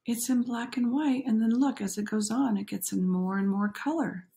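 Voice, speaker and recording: A woman's voice: a little high, moderate pace, gravelly, mellow. A middle-aged woman doing a picture-book read-aloud with chatty commentary, interjecting and putting questions to young listeners. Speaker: coach reading a picture book aloud to children.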